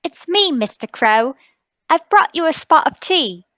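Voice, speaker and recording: Radio play: actress as kindly young woman, highish voice, unhurried and very lilting, coaxing, nosy. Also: big pitch swings, sharp tone, no room sound, heavily acted. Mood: cheerful. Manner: coaxing, sweetly fussing. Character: kindly, inquisitive young woman, a landlady's fond daughter. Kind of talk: radio-play table read.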